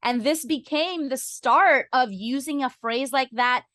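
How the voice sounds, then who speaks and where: A woman speaks as a podcast guest in a fairly high voice with a dry, close sound. She explains her opinions in an earnest, articulate, conversational way, peppered with "you know". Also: measured pace, fairly bright tone, no echo.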